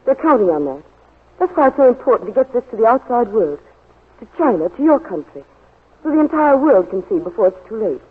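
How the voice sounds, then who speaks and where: A woman's voice: a little high, sing-song, a little fast, breathy edge. Earnest woman spy, old radio drama. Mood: urgent, earnest. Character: brave, earnest woman spy in love, working for China. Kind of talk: 1940s radio drama acting. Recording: muffled old 1940s radio broadcast, faint music.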